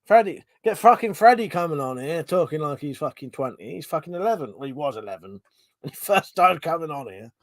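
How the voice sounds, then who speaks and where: A man's voice: a little high, a little fast, dry recording, studio quality. A man in his forties chatting casually on a live stream, reading out comments, swearing and laughing as he talks. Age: 40s. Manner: swearing, laughing, animated banter. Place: home room.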